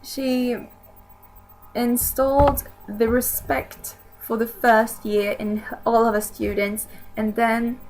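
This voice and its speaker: Slow, gravelly young woman. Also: fairly high pitch, level tone, darkish tone, slight background noise, poor audio. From Sweden. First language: Swedish. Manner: hesitant and rambling, many restarts. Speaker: YouTuber. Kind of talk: casual chat to camera.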